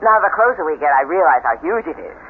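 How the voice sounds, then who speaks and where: An actress playing an eager, anxious new bride in an earnest, hesitant radio mystery style. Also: female voice, sits low, big pitch swings, quick pace. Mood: enchanted. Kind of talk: radio mystery drama acting. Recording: tinny band-limited vintage radio, clear hiss.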